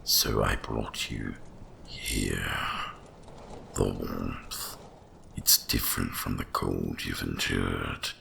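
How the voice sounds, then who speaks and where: A man voicing an ancient, gentle winter dragon in an ASMR roleplay, hushed and soothing, with a deep gravelly half-whisper and deliberate pauses. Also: steady tone, slight background noise, exaggerated voice. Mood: tender, soothing.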